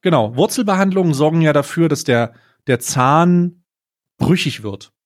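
Higher-voiced man telling casual stories, slangy. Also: lively intonation, normal speed. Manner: slangy, blunt, mixes in English bits. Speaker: podcast co-host.

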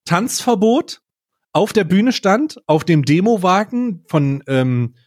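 A man with a slightly high-pitched voice chats as a podcast co-host. He rambles through stories in a casual, mocking, comedic way. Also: animated, slow, a little mellow.

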